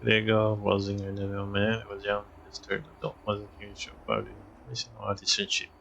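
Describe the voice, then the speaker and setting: A man's voice: on the low side, fast talker, vocal fry, a bit bright, dull audio, slight background noise. A man streaming a game, casually reacting and muttering along in a loose, mumbled way. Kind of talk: casual reactions and reading along while playing.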